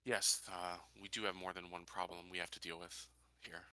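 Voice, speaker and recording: Guy playing tabletop rpg, super bright crisp voice, talks in bits, dry sound. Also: low voice, flat delivery, moderate pace, no echo. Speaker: tabletop roleplay player. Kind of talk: casual game discussion.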